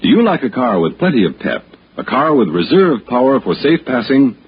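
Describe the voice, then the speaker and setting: A man's voice: medium pitch, sing-song, moderate pace, slightly rough. An American man announcing on 1960s radio, reading a scripted advertisement and credits. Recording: muffled old radio recording with music.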